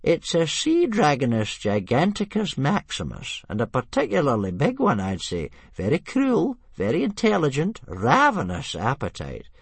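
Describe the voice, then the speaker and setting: An elderly Viking scholar, put on by a male storyteller, pitched high and swooping in tone. He is amused by everything and savours pedantic detail with glee. It suits a comic children's storybook. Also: huge pitch swings, moderate pace, creaky, few pauses, slightly rough, dry recording, exaggerated voice. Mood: amused, scholarly. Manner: pedantic, gleeful.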